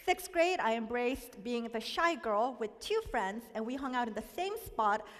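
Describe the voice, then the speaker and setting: High-voiced woman telling her story in a hall. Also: lively intonation, normal speed. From South Korea. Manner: reflective, candid storytelling. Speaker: speaker sharing a personal testimony. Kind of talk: personal testimony talk.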